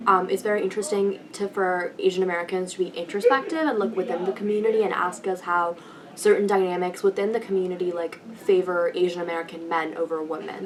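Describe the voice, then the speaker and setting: A young woman student giving thoughtful, halting answers in a casual interview, often saying "like". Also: on the low side. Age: young adult. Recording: distant mic with faint room noise.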